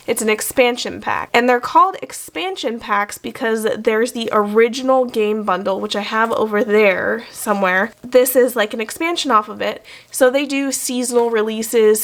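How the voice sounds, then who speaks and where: A woman vlogger with a slightly croaky voice from being sick, chatting enthusiastically through a product unboxing. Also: on the high side. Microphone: desk microphone.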